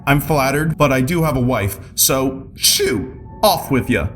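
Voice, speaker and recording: A man voicing a cranky, panicky homeowner, rude and excitable, exclaiming in a comic story over music. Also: moderate pace, natural voice. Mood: nervous. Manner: exclaiming, jumping high in pitch. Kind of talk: comic audiobook character voice.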